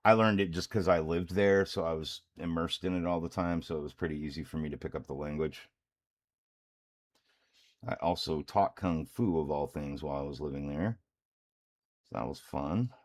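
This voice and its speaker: A man's voice: a bass voice, normal speed. A man talking casually and rambling while he paints, with frequent chuckles. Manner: relaxed, rambling, chuckles often. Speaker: miniature painter and streamer.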